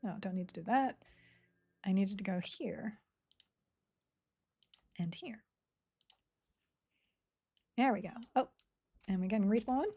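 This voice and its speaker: A woman at her desk mic, chatting casually while she plays a game live on stream. Her voice is mellow and soft-edged at an everyday pitch. She talks in a rambling, giggly way, trailing off and picking up again. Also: slow pace, long pauses, breathy edge. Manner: chatty, rambling, giggly, reads chat aloud.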